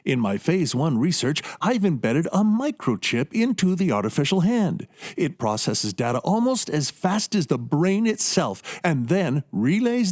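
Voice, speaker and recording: Man playing a zealous, animated prosthetics doctor. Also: sits high. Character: zealous, boastful male doctor pitching experimental prosthetics. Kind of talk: radio play acting.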